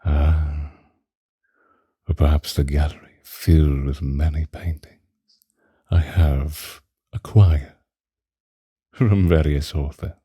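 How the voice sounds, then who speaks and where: Man as ancient male vampire, ASMR roleplay, rock-bottom pitch, husky breathy murmur, possessive, theatrical, languid, close dry mic. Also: steady tone, dark tone, no echo, heavily acted. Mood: alluring, dreamy. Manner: languid, archaic, theatrical, with lingering pauses. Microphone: close microphone, dry.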